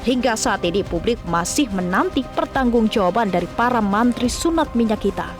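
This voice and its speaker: Female news narrator, higher-pitched, scripted investigative TV delivery over prominent music. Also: expressive, fairly quick.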